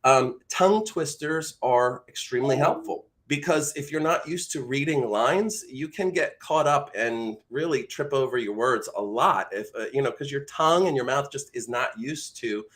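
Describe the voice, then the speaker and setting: Male host-coach, mid-range pitch, conversational and supportive, for live teaching and demo reads. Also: normal speed, hardly any pauses, a bit bright. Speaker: voice acting coach and livestream host. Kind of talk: casual live teaching with read-aloud demonstrations.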